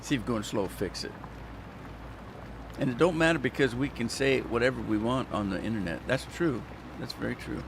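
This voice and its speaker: A man's voice: medium pitch, normal speed, creaky, background noise. A man giving casual, rambling commentary while playing a farming game, chatting with viewers and joking in asides. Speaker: gaming channel host.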